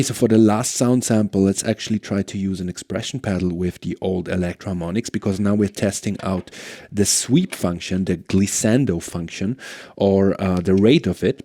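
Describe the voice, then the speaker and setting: A man's voice: on the low side, warm tone, no room sound. A man talking casually and musingly as he hosts a gear demo, often checking himself with a tentative "right?". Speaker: guitar gear reviewer and host. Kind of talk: casual product demo talk.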